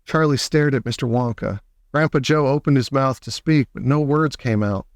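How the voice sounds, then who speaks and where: A man's voice: medium pitch, normal speed, dry recording, studio quality. A man narrating a children's storybook aloud as an audiobook reader.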